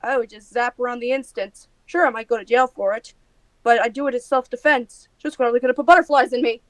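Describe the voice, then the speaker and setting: A woman's voice: fairly high pitch, fairly even intonation, quick pace, no echo, rough recording. A woman giving casual guest reactions, often repeating short remarks.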